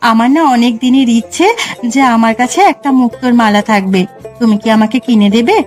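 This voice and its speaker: Woman playing a high-voiced, eager village housewife. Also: a little fast, darkish tone, faint music behind, close to an everyday voice. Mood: coaxing.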